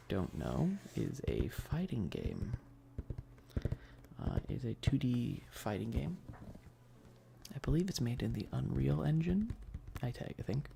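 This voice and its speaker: A man chatting casually on an ASMR game stream in a soft voice that often slips toward a whisper, laid-back and sweary with slangy, hesitant enthusiasm. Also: mid-range voice, vocal fry, background noise. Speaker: streamer hosting a live stream. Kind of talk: casual rambling ASMR stream chat about games.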